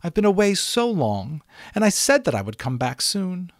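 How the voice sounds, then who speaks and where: A gentle, innocent unicorn with a high, pleading voice, as a man puts her on. She sounds earnest and soft. The sound is dry and close. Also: very animated, normal speed, no room sound. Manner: high, pleading, breathy falsetto.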